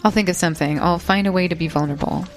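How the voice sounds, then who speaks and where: A woman's voice: fairly low pitch, racing, soft background music, lightly acted. Woman acting as a curt, self-assured female spy. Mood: calculating. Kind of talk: audio drama acting.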